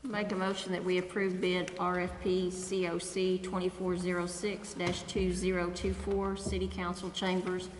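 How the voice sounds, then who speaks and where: A woman's voice: fairly low pitch, monotone, moderate pace, few pauses. A woman speaking as a council member, making formal motions and asking questions, in an echoey hall with background noise. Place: council chamber, indoors.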